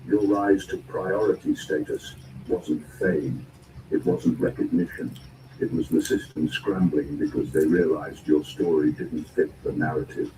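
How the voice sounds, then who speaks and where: A man delivering a scripted spoken-word motivational narration in deliberate, measured phrases and short emphatic statements. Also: low voice, huge pitch swings. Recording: roomy, distant, clearly noisy recording.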